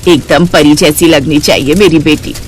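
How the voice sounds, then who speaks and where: Woman voicing a low, scheming stepmother. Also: fairly even intonation, normal speed, darkish tone, soft background music, lightly acted. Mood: conniving. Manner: sly, bossy, coaxing. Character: scheming, contemptuous middle-aged stepmother. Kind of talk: Hindi cartoon story character acting.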